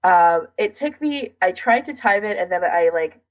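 A woman's voice: on the low side, animated, moderate pace, poor audio. A woman co-hosting a podcast in casual chat, recounting things in detail with a self-deprecating streak. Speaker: podcast co-host.

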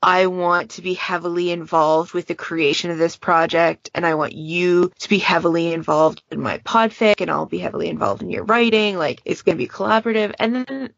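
A woman's voice: lowish voice, brisk, vocal fry, hardly any pauses, fairly bright tone, no room sound, muffled recording. A woman in a casual podcast discussion, talking in a chatty, discursive way and acting out little quotes as examples. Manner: chatty, discursive, illustrates points with imagined quotes. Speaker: podfic challenge moderator.

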